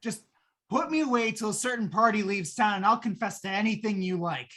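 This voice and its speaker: A woman's voice: medium pitch, a bit bright, lightly acted. A woman playing a jittery, wheedling, wisecracking repairman in a 1950s radio play, talking in slangy patter. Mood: anxious, relieved. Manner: slangy fast-talking gangster-style patter. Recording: noisy live radio play stream.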